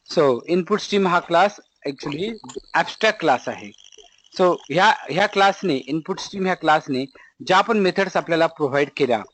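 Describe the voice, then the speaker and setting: A man's voice: a little high, fairly bright tone, rough recording. A man with a Marathi accent giving a step-by-step lecture as an instructor, didactic, repeating points and asking rhetorical questions. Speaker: programming instructor.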